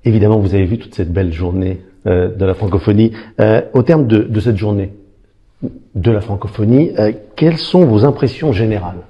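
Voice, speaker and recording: A man's voice: lowish voice, a little fast, lo-fi sound. A man interviewing formally and courteously, putting questions to his guest. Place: an ambassador's residence.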